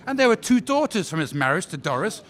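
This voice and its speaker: A man's voice: high voice, big pitch swings, fast talker, a bit bright. A man playing a defense counsel in a courtroom radio drama, questioning a witness politely but insistently. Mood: pressing. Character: male defense counsel, formal and probing. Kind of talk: audio drama acting.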